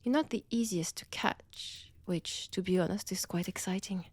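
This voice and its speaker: A woman with a French accent playing a seductive, predatory vampire in an intimate audio roleplay, breathy and teasing, often dropping toward a whisper. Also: fairly low pitch, a little mellow, dry recording. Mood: gloating, teasing. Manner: slow, teasing, menacing, deliberate pauses. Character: seductive, predatory female vampire toying with her captive.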